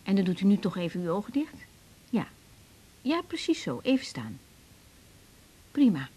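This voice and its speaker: An actress playing a caring young female doctor in a radio drama, speaking haltingly and naturally, often repeating words. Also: medium pitch, big pitch swings, dark tone, breathy edge. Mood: calm, professional.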